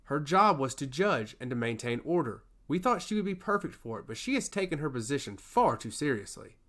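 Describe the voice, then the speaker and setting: A man's voice: fairly high pitch, very animated, fairly quick, fairly bright tone. A man voicing a passionate god of chaos who loves humanity, speaking in earnest, emphatic monologue in a fantasy story. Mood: resentful. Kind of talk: fantasy storybook character dialogue.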